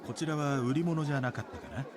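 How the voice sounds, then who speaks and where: A man voicing a courteous, gentle, softly appreciative gentleman in a visual novel. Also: medium pitch, very fast, a crowd in the background, close to an everyday voice. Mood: polite, admiring. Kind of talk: Japanese visual novel voice acting. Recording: game audio under noisy stream ambience.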